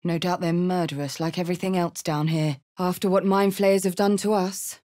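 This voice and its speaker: A woman's voice: lowish voice, steady tone, normal speed, darkish tone, natural voice. A woman playing a brash, hearty, battle-hardened tiefling warrior woman in a video game, with a blunt, salty way of talking. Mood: gruff, observant. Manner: blunt, salty, coarse-mouthed. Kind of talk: video game character voice lines.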